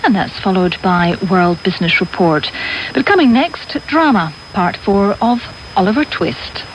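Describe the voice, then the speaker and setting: A woman's voice: medium pitch, expressive, a little slow. A woman radio announcer, reading scripted continuity announcements. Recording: dull, faintly noisy medium-wave radio recording.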